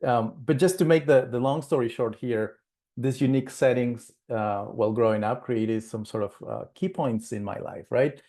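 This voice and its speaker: A man's voice: mid-range voice, moderate pace, warm tone. A man from Peru presenting a planning webinar, speaking with many fillers and restarts.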